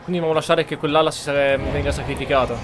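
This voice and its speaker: A man's voice: a little high, fairly even intonation, rapid-fire, crowd noise. A man giving casual roleplay commentary while playing a video game, with game music behind him. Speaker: gaming streamer.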